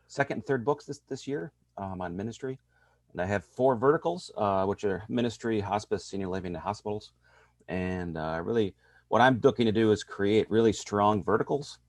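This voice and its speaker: A man's voice: on the low side, dry recording. A man in his fifties introducing himself on a video call, upbeat and rambling. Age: 50s. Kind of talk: self-introduction.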